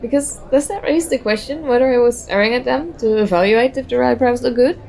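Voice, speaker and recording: A woman's voice: on the high side, fairly quick, gravelly, darkish tone. A woman playing a very shy, nervous young stranger in an ASMR roleplay, talking hesitantly and self-consciously as she overthinks. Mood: thoughtful, rambling. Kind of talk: ASMR roleplay, soft conversational acting.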